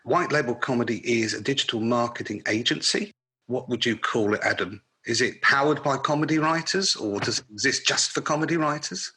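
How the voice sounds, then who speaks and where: A middle-aged British man narrating a scripted podcast as host, instructive, speaking in short deliberate phrases. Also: mid-range voice, bright, forceful tone, slightly rough.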